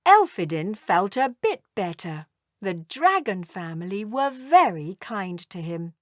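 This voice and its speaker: A woman narrating a children's story aloud in an expressive, deliberate storytelling manner. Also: mid-range voice, dry recording, clean studio sound.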